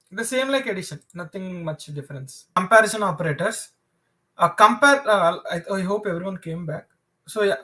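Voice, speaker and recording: A man with a South Indian accent, a native Telugu speaker, teaching programming in an informal live online lesson, explaining haltingly and restating his points. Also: high voice, pushed and bright. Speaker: programming instructor.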